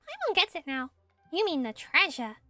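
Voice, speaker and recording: Very high-pitched little fairy sidekick. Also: female voice, wildly swooping pitch, moderate pace, pushed and bright, dry recording, soft background music, exaggerated voice. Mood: pleased. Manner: refers to self in third person. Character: small excitable flying fairy companion, chatty and cheeky. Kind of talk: game character voice acting, fan dub.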